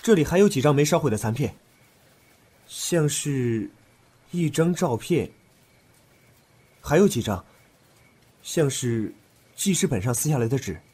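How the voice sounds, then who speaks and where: A male actor voices a thoughtful young man working through clues in an audio drama. His voice is lowish and mellow, earnest, with very varied intonation. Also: animated, measured pace, long pauses, husky. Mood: curious. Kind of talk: Chinese audio drama acting.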